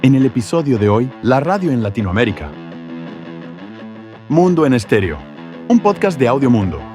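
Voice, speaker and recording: Animated male announcer over guitar music. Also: mid-range voice, moderate pace. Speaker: podcast announcer. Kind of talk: scripted podcast intro. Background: plucked guitar music.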